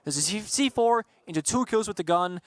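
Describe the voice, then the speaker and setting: Man, esports analyst, high voice, explanatory, steady tactical breakdown, headset mic. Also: a little fast. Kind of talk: live esports color commentary. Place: broadcast desk at a live event.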